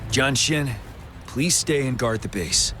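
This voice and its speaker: Male actor as game army general: mid-pitched, measured, authoritative, music clearly behind him. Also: natural voice. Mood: commanding. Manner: measured, commanding, formal. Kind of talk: dramatic video game acting.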